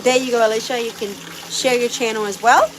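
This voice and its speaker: A woman's voice: on the high side, steady tone, brisk, noisy background. A woman from Puerto Rico chatting with her live viewers while doing chores outdoors with her animals. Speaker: livestream host.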